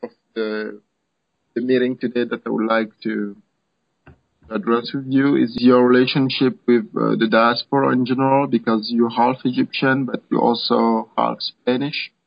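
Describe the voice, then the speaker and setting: A man hosting a podcast, asking interview questions in a halting way with fillers. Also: mid-range voice, level tone, gravelly, no echo. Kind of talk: casual interview. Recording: dry, dull lo-fi sound.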